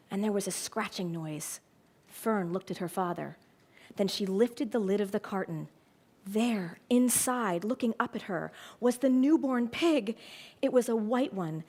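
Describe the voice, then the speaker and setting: A middle-aged woman speaking as an educator in a prepared talk, telling stories in an expressive, emphatic way. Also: mid-range voice. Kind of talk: prepared public talk with storytelling.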